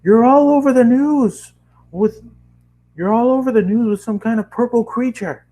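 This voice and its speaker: A man voicing an angry, stern older father, exasperated and loud, in a story reading. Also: very high voice, normal speed, pitched above the performer's own voice, rough recording. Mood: alarmed, angry.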